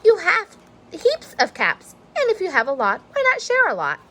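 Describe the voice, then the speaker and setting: A woman's voice: very high voice, wildly swooping pitch, normal speed, bright, forceful tone, slight background noise, pitched above the performer's own voice, exaggerated voice. A woman voicing a patient but firm mother shark in a children's storybook, scolding and reasoning like a mom. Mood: firm, coaxing.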